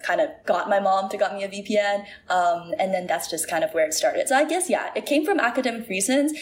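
A woman in her early twenties, raised in Shanghai and Singapore, talks through her story in a loose, conversational way. She fills her sentences with "like" and "um" and circles back as she goes. A faint noise sits under the recording. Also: mid-range voice. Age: 20s. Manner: chatty, says "like" often, many fillers. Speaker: interview guest. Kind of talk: casual interview chat.

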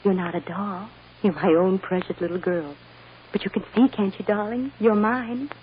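A woman's voice: mid-range voice, moderate pace, lightly acted. An actress playing a loving, reassuring American mother soothing her young daughter in an old-time radio drama. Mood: earnest, reassuring. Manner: tender, reassuring, emotionally earnest. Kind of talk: 1940s-50s American radio drama acting. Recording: dull, noisy old radio recording.

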